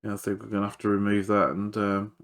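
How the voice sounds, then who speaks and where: A man's voice: on the low side, completely flat delivery, quick pace, a bit bright. A man giving casual, hands-on repair commentary as he works, hesitant and thinking aloud. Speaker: electronics repairer presenting a video.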